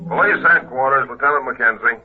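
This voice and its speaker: An actor playing a gruff, plainspoken police lieutenant, exasperated and grumbling, in classic radio drama. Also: male voice, mid-range voice, a little fast, over music, close to an everyday voice. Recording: muffled, lo-fi vintage radio recording.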